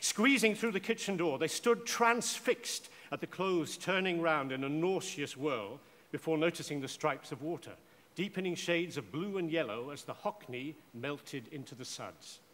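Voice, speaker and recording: Animated male storyteller in a hall. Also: a little high, a little slow. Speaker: narrator of a short story. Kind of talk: scripted dramatic story narration. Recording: echoey hall with faint noise.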